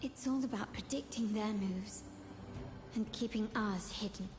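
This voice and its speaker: A woman's voice: medium pitch, a little slow, noisy background, natural voice. A woman playing a poised, commanding young mafia heiress in a video game, composed and decisive, with an imperious manner. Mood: calm, decisive.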